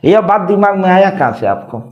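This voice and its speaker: A man with a fairly high voice talks casually as a vlog host handling a phone call. He is probing and circles back over his questions. The sound is somewhat dull and lo-fi. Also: big pitch swings, fairly quick.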